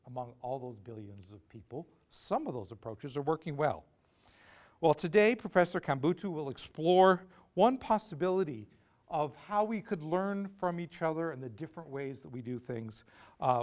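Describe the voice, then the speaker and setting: Man reading a measured introduction. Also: on the high side. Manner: measured, listing words one by one. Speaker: host introducing the speaker. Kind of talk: scripted introduction. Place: lecture hall.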